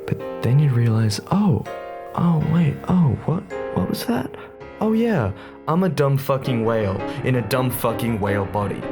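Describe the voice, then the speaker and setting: A man's voice: medium pitch, animated, normal speed, close to an everyday voice. A man playing a vengeful, menacing character in a dramatic comic monologue, swearing and dropping into whispers. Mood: manic rage. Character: vengeful man menacingly threatening a whale, unhinged and theatrical. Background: music.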